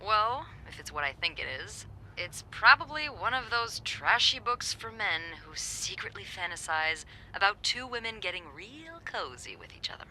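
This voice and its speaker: A woman's voice: medium pitch, lively intonation, moderate pace, hardly any pauses, sharp tone, slight background noise, rough recording. A woman playing a young hotel receptionist, dry, teasing and knowing. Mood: wry. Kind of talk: video game voice acting.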